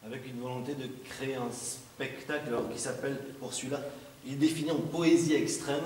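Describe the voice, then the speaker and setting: A man hosting an interview in a theatre hall talks fast, chatty and joking, with plenty of hesitations. His voice sits in the middle of the male range. It is picked up from a distance, with a roomy echo and faint background noise. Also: mid-range voice, a little mellow. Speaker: host. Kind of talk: casual presenter interview.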